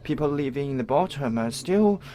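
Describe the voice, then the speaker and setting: A man with a Chinese accent interprets live event coverage, speaking in halting, piecemeal phrases with repeated false starts. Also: medium pitch, lively intonation, warm tone, a little hiss or hum.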